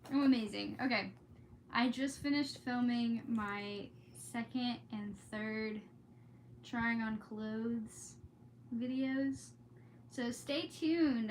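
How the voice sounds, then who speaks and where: A woman's voice: on the high side, slight background noise. A woman streamer chatting in a casual, rambling, exclamatory way, easily sidetracked. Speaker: streamer hosting a read-aloud. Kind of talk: casual rambling vlog chat.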